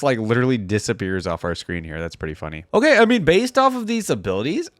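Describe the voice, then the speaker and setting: A man's voice: medium pitch, dry recording. A man doing casual, chatty reaction commentary, reading aloud and commenting informally to his viewers.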